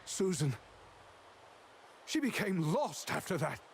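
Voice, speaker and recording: Game quest giver, bitter male outcast. High voice, very animated, theatrical and plaintive. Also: takes it slowly, full character voice. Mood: mournful, bitter. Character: bitter, mournful man, outcast from home, craving revenge. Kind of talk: video game quest dialogue acting. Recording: clear background ambient noise.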